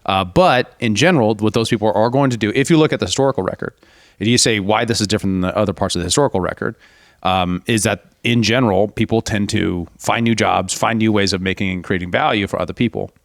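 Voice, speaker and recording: A man's voice: lowish voice, fast, no echo, studio quality. A man co-hosting a casual political podcast, explaining points at length and mocking opposing views with a raised voice. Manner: explanatory, argues in long runs, mocks opponents in raised voice. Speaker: podcast co-host.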